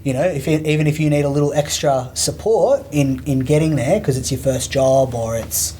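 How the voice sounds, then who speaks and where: Earnest teacher, chatty. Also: male voice, fairly high pitch, normal speed, dark tone, a little hiss or hum. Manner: earnest, rambling with many fillers. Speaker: work experience teacher. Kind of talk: casual conversation.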